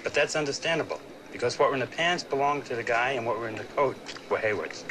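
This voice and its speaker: An actor playing a matter-of-fact police detective giving a methodical briefing in a TV crime drama. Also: male voice, mid-range voice, fast, crowd noise, natural voice. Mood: businesslike. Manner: methodical, plain. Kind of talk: 1970s TV crime drama acting.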